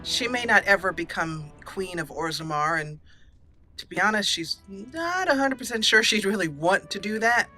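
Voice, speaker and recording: A woman hosting a let's play, telling her character's story over gameplay with drawn-out emphasis. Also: medium pitch, lively intonation, pushed and bright. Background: faint game music.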